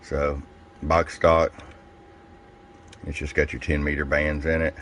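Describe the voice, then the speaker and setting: A man giving a casual, step-by-step hands-on product review in a plain-spoken, folksy way, pausing between short phrases. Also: extremely low pitch, monotone, fairly bright tone, a little hiss or hum. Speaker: radio seller demonstrating a product.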